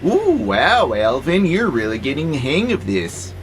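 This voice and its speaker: A man plays a wry, gentle worker robot in an audio drama. He is calmly persuasive with a playful sarcasm, his mid-range male voice moving freely up and down over faint background noise. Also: mid-range voice, measured pace. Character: gentle, wry, philosophical male freight-hauling robot, peaceable.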